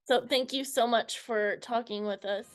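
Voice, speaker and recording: A woman's voice: fairly high pitch, fairly even intonation, normal speed, loud music behind the voice. A woman interviewer chatting casually with a guest. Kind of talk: casual chat.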